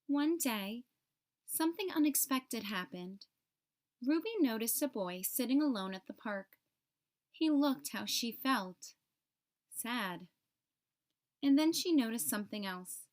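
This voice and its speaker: Woman, high-pitched voice, slow delivery, children's storytime narration, expressive phrasing, deliberate pauses. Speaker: teacher reading aloud a picture book for children.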